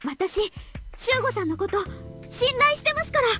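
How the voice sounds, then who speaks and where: A shy, innocent young woman from a visual novel. Her voice is extremely high, and she speaks with hesitant, polite softness over prominent music. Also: sing-song, quick pace, full character voice. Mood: earnest, reassuring. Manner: timid, hesitant, softly polite. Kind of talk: Japanese visual novel voice acting. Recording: music playing behind the voice.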